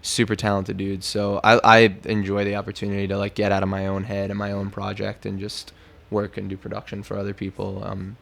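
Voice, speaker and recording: A man's voice: on the low side, completely flat delivery, a little fast, gravelly, few pauses, a bit bright. An American man, a musician, chatting casually in an interview in a laid-back, slangy, conversational way. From New York, United States.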